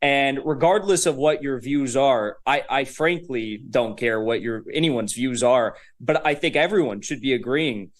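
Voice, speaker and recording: Young male guest commentator, mid-range pitch, casual and emphatic delivery. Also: a little slow, few pauses. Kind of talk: political commentary.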